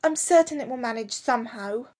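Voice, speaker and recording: Woman playing a high-voiced earnest young boy. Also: brisk, a little mellow, lightly acted. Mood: hopeful insistence. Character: forlorn but trusting young boy.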